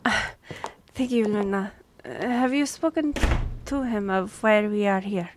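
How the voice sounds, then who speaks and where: A woman's voice: mid-range voice, a little slow, noisy background, natural voice. A woman playing a courteous half-elf royal liaison in a fantasy audio drama, speaking formally and explaining things with some hesitation. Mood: polite, formal.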